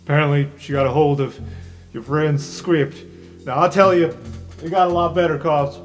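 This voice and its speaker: A man's voice: a little high, fairly even intonation, normal speed, faint music behind, natural voice. A man playing a dejected, tipsy, theatrical drama professor in a tabletop roleplay, blustering and brash. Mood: maudlin, sincere.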